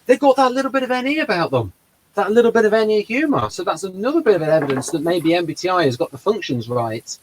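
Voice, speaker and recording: A man with a slightly high voice hosts a relaxed conversation. He rushes through his reasoning, making his case with quick, rambling explanations. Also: slight background noise, rough recording. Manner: fast, rambling, argumentative explanations. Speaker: host. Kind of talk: casual discussion of personality typing.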